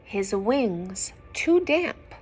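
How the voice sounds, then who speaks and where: A woman reading a picture book aloud to young children, expressive and gentle, often dropping into hushed asides to her listeners. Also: mid-range voice, very slow, breathy edge. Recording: some background noise.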